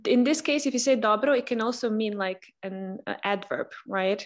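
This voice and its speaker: Young woman, Serbian native, chatty co-host on a headset call, mid-pitched voice. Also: moderate pace. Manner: chatty, explains with many fillers and "right?". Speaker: podcast co-host. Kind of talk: casual language-learning chat. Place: small room indoors. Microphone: headset, video call.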